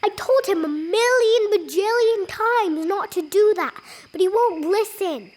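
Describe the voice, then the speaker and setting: A performer playing an earnest, easily exasperated little child with a whiny, expressive delivery in an animated storytime. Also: very high-pitched, measured pace.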